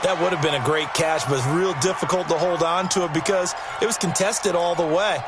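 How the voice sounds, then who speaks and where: A man's voice: a little high, brisk, very noisy, close to an everyday voice. A man with an American accent giving conversational, explanatory color commentary in an American football video game. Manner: conversational, explanatory, addresses the listener. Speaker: color analyst. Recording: commentary under loud music and crowd. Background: loud music and stadium crowd.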